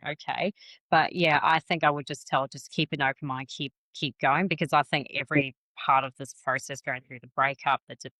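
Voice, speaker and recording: A woman talking casually as a podcast guest about her work as a psychic medium, chatty and quick to laugh at herself. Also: sits low, a bit bright, dry recording, poor audio. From New Zealand and Malaysian family. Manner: chatty, self-deprecating, laughs often. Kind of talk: casual interview conversation.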